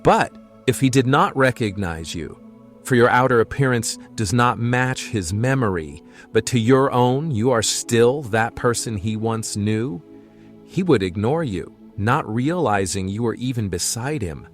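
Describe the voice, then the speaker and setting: A man playing a calm, patient mystic who explains things plainly in an audio drama. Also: mid-range voice, a little slow, faint music behind, close to an everyday voice. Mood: calm explaining.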